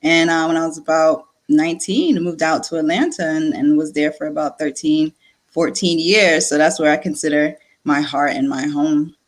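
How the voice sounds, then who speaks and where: A woman in her late thirties, born in Nigeria and raised in Connecticut, telling her story as a guest in a casual interview, lively and repeating words as she goes. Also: low-pitched, normal speed. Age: late 30s.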